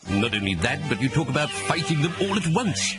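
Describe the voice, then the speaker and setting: A man's voice: a little high, big pitch swings, fairly quick. A man playing a sarcastic, condescending magician servant in an adventure game, dry and long-suffering. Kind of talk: cartoonish adventure game voice acting. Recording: loud music behind the voice.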